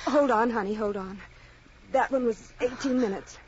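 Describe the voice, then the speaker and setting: Lady playing protective concerned friend, highish voice, old radio drama, noisy dull sound. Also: moderate pace, lightly acted. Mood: soothing.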